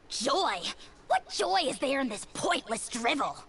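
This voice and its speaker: Sneering game dragon, high and gravelly, slow and lofty. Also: sing-song, sharp tone, heavily acted. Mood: scornful. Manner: scornful, grandiose. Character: small boastful dragon spirit, haughty. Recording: game audio with music behind.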